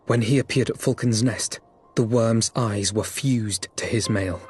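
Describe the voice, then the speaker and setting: A man voicing an earnest, noble young gentleman in a game cutscene, speaking formally with archaic phrasing. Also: fairly low pitch, unhurried, faint music behind, lightly acted. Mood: worried. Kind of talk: video game cutscene acting.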